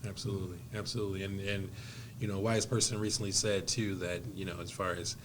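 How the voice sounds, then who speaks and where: A man's voice: low voice, flat delivery, fast talker, fairly bright tone, slight background noise. A man hosting a podcast interview in a friendly way, often repeating words as he goes. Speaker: podcast host.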